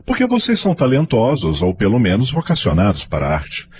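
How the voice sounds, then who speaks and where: Guy playing a proud brazilian dad politician, lowish voice that swings a lot, radio drama, bit of noise. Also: huge pitch swings, fairly quick, a little mellow, slightly rough, heavily acted. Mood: persuasive, authoritative. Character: self-assured politician father, middle-aged, persuasive and proud.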